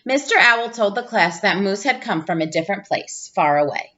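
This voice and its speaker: A woman reading a children's storybook aloud in an expressive narrating style. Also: medium pitch, normal speed, dull audio, a little hiss or hum. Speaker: storybook reader, teacher.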